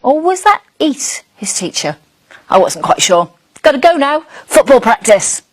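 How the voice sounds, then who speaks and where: A woman voicing a curious, friendly young schoolchild dragon who narrates a storybook with wide-eyed, expressive emphasis. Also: sits high, measured pace, voice pushed up, exaggerated voice. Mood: excited.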